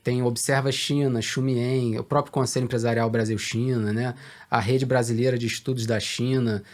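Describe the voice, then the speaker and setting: A Brazilian man, a research director, answering questions in a business interview in a measured, hesitant way with many fillers. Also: medium pitch, little pitch movement, darkish tone.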